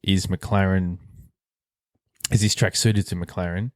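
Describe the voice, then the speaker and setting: The low-voiced bloke steering a sports podcast from a well-deadened room, thinking out loud and ribbing his co-host. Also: steady tone, takes it slowly, no echo, clean studio sound. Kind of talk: casual sports chat.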